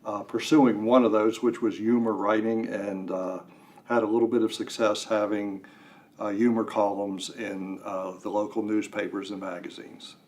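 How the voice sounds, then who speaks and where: Older male interview guest, lowish pitch, halting casual talk with dry self-mockery. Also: level tone, darkish tone. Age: 60s or older. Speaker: guest writer. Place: radio studio.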